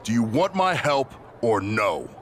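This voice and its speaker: An actor playing a scheming, terse wrestling rival in a video game cutscene. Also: male voice, medium pitch, big pitch swings, measured pace, fairly bright tone, a little hiss or hum. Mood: blunt, assured. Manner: terse, blunt. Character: gruff, scheming male wrestling rival, cool and blunt.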